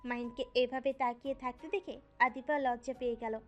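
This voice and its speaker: A high-pitched woman narrates a romantic audio story in a scripted storytelling style. Music plays behind her voice. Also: steady tone, normal speed, dull audio.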